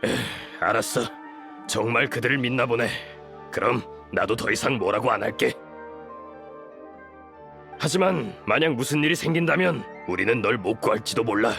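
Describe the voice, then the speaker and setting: An actor playing an earnest, protective man in a video game, with a slightly creaky voice. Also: mid-range voice, normal speed, long pauses, fairly bright tone, faint music behind. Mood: resigned. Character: earnest, protective man, sighing but caring ally. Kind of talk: video game dialogue acting.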